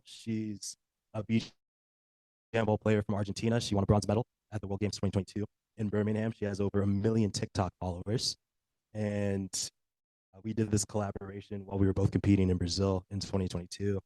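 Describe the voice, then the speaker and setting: A man's voice: on the low side, mellow, no echo, poor audio. An American man giving a presentation as an athlete at a workshop, speaking to an audience. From United States. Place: live talk at a workshop.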